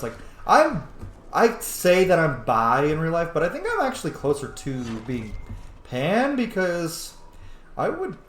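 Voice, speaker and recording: A man's voice: medium pitch, reverberant, slight background noise. A man giving casual, chatty gameplay commentary, exclaiming and swearing as he plays. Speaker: let's player narrating gameplay.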